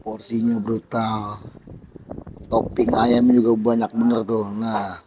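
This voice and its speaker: A hoarse man, excitedly vlogging about street food outdoors at a stall and calling his viewers "guys". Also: lowish voice, slow pace, noisy background. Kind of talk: casual street-food vlog.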